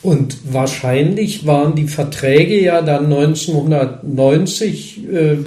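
Mellow-voiced interviewer in a roomy space. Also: medium pitch, takes it slowly, distant mic. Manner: brief backchannel murmurs and calm questions. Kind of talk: interview questions. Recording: roomy echo.

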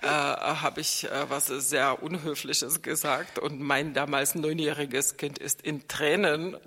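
A woman's voice: sits low, steady tone, slow, a bit bright, breathy edge, big-room sound. A middle-aged woman, speaking German as an in a casual podcast chat, thoughtful and hesitant with many fillers.

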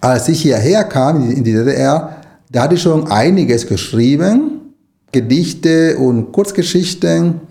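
Mellow middle-aged man. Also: fairly high pitch, lively intonation, measured pace, creaky. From Nicaragua. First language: Spanish. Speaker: interview guest, writer and language lecturer. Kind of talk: conversational interview answers.

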